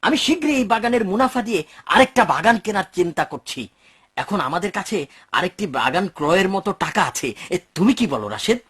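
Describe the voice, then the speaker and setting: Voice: mid-range voice, sing-song, brisk, a bit bright, slightly rough. An actor playing a greedy, impatient garden owner in a cartoon, pushy and easily agitated. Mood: scheming. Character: greedy, impatient young male garden owner, irritable striver. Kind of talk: dubbed cartoon dialogue.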